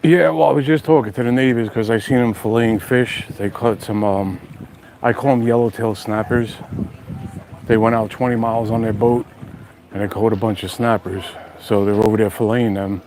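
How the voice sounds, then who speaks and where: A chatty man with a New York accent talks straight to his viewers on a live stream. He jokes easily in a relaxed, unscripted way. He is recorded outdoors on a phone with faint noise behind him. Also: lowish voice, normal speed, poor audio. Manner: chatty, joking, addresses viewers directly. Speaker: outdoor channel host. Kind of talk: casual live-stream chat. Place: outdoors at a beach house.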